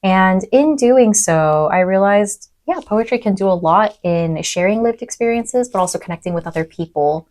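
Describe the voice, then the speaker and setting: A young adult woman lecturer who grew up in East Africa, speaking thoughtfully in a podcast interview with frequent pauses and "um"s. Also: mid-range voice, lively intonation. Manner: thoughtful, soft-spoken, many "um"s. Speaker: university lecturer.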